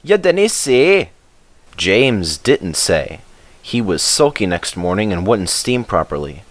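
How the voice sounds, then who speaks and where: A man's voice: sits low, lively intonation, measured pace, no room sound. A man reading a children's story aloud as scripted narration.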